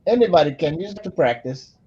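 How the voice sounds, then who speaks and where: Folksy American male, fairly high voice, casual joking delivery over a dull-sounding online call. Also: animated, quick pace, husky. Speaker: chat participant. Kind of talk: casual group chat.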